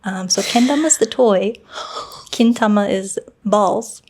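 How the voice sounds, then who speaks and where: A woman hosting a podcast, talking in a relaxed, conversational way and laughing lightly. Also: medium pitch, slow. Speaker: podcast host. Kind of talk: casual podcast chat. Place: Japan.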